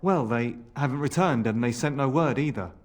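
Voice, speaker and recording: An actor as an anxious, halting scholar's assistant. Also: male voice, medium pitch, close to an everyday voice. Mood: worried. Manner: halting, fretful. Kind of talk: video game character acting.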